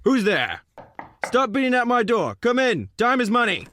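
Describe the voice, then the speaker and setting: A brusque editor played by a man blusters in a high voice that jumps around wildly. He is scornful, sarcastic and short of patience, in the broad manner of a comic radio play. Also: huge pitch swings, moderate pace, sharp tone, slight background noise, exaggerated voice. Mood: irate. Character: brusque, scornful, impatient magazine editor, male. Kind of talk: comic radio drama acting.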